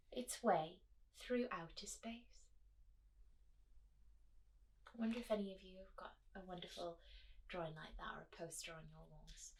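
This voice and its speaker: A woman reading a picture book aloud to children, expressive and speaking directly to her young listeners with chatty asides. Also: medium pitch, far from the mic, rough recording.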